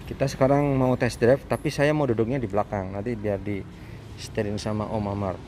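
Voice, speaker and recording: A man chatting enthusiastically in a casual car review sales pitch, full of exclamations. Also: little pitch movement, a little fast. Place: inside a moving car, back seat. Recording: very loud car and road noise.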